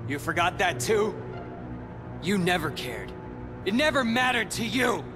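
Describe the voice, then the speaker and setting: Cold taunting teen boy rival, slow, animated pitch, video game. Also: music in the background. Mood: bitter anger. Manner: cool, taunting, deliberate. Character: cold, scornful, brooding teenage boy rival. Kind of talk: video game cutscene acting.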